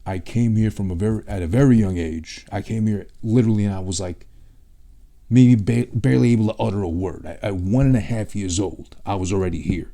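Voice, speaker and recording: A young man with a New York accent, originally from Peru, talks through a loose, casual monologue. His voice sits somewhat low and sounds mellow and relaxed. He thinks aloud, rambles, and swears bluntly. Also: brisk, creaky. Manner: blunt and profane.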